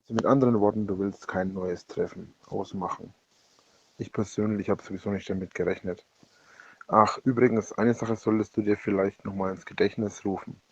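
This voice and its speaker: Low-pitched man, husky and gravelly, halting rambling personal monologue, self-correcting, dull lo-fi voice message. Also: fairly even intonation, slight background noise. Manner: halting, repeats and corrects himself. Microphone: voice message recorded on a phone. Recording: dull lo-fi leaked voicemail recording.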